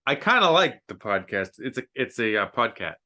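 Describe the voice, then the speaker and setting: A man's voice: mid-range voice, very animated, moderate pace, pushed and bright. A man hosting a podcast in a casual, chatty way, poking fun at himself as he talks. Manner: chatty, self-deprecating, jokes at himself.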